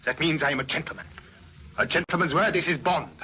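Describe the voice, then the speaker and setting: An actor with a German accent playing a proud, pompous gentleman crook in old radio drama, speaking formal, stilted English. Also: on the high side, fast, breathy edge, exaggerated voice. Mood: affronted. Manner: formal, stilted foreign phrasing. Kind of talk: 1950s radio drama acting. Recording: muffled, noisy old radio recording.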